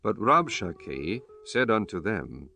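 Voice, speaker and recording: Low-voiced man narrating scripture. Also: sing-song, takes it slowly, vocal fry, bright, forceful tone. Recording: music under the voice.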